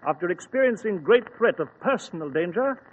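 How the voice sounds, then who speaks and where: An actor playing Doctor Watson, a proper and excitable English gentleman, in a 1940s radio drama. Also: high-pitched, brisk. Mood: earnest. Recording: old phone-like radio transcription.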